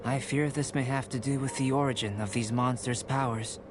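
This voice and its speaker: A man playing a calm, formal young alchemist. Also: medium pitch, noisy background, close to an everyday voice. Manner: measured, formal, softly explaining. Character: calm young male alchemist scholar, composed. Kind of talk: video game dialogue. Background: game music.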